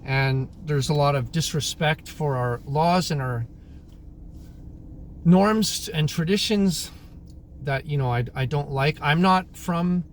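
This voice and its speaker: A man in his thirties, born in Croatia, airs his views in a loose, unscripted monologue. He stops to clear his throat and restarts his sentences often. His voice sits slightly high for a man, with a faint hum of vehicles in the background. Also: slow. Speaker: vlogger. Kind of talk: casual opinion monologue. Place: in Alberta, Canada.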